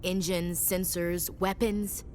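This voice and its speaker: A woman's voice: fairly low pitch, little pitch movement, slow pace, a little mellow, slight background noise. A woman playing a dutiful, guarded human soldier in a video game. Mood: firm, wary. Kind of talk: video game character dialogue.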